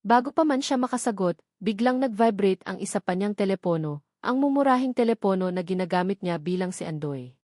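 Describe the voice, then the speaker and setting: A woman's voice: medium pitch, animated, a little fast, darkish tone, no room sound, clean studio sound. A woman narrating a scripted love story in a dramatic storytelling style. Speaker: narrator of audio love stories.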